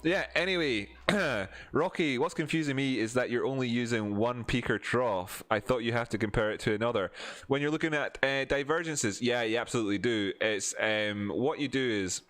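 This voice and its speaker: A man's voice: mid-range voice, moderate pace, a bit bright. A man with an Edinburgh Scottish accent, chatting casually on a live stream, answering chat and explaining charts with lots of fillers and restarts. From Scotland. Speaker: crypto streamer.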